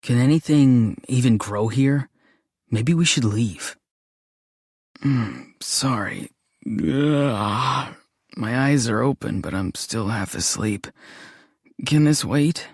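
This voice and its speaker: An actor voicing a dreamy, awkward, gentle young man in a fantasy game, musing and hesitant in a deadpan way. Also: mid-range voice, slow pace, long pauses, husky, dry recording, studio quality. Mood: drowsy, flat. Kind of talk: scripted game character voice lines.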